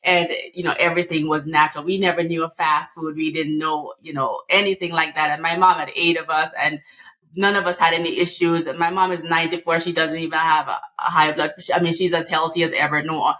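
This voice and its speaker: Earnest Jamaican woman, low-ish voice. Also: level tone, brisk, fairly bright tone. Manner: earnest, many restarts and repeated words. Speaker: physician guest. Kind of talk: podcast interview.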